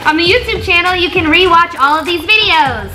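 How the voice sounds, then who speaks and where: A young woman with a squeaky helium voice making casual youth group announcements on camera in an indoor room. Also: a little fast, over music. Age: young adult. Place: small indoor office, seated at a table.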